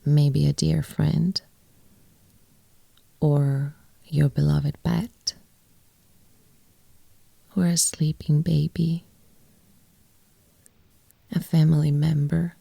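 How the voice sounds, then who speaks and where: A woman guiding a yoga nidra meditation in a soft, breathy, often near-whispered voice, with gentle phrases and long pauses. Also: deep voice, flat delivery, dry recording. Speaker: yoga nidra teacher and guide. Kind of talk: guided meditation, soft and soothing.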